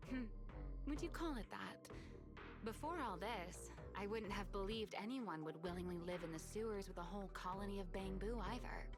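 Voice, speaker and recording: A woman playing a confident, teasing, sly strategist in a sci-fi video game, with playful, measured theatrical delivery. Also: medium pitch, lightly acted. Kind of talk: scripted video game voice acting. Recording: game music behind the voice. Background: background music.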